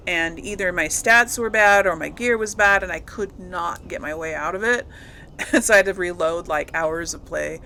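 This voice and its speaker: A woman's voice: medium pitch, a bit bright, a little hiss or hum. A woman giving casual, chatty commentary as she plays through a video game. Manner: chatty, informal. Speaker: gamer, let's player.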